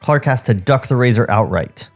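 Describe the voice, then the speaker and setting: A male podcast co-host reading a script in a studio, with a medium-pitched voice. He moves between narration and casual chat, over background music. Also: lively intonation, normal speed.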